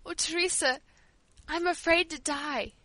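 A woman's voice: high-pitched, a little slow, bright, forceful tone, no echo, pitched above the performer's own voice. A woman voicing a dying young mother, pleading fervently and full of fear, in an audiobook. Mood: fearful, desperate. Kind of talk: audiobook character dialogue.

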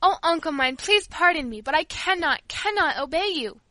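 A woman's voice: high-pitched, normal speed, a bit bright, no echo. A woman voicing a devout, earnest young country girl in an audiobook, polite, emotional and pleading. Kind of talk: audiobook character voice.

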